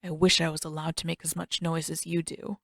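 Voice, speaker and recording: Woman doing a moody teen prince, grumbly and muttering, pitched down, storybook reading. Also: little pitch movement, fairly quick, fairly bright tone, no room sound, studio quality. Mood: sullen grumbling. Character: brooding, frustrated teenage prince in hiding.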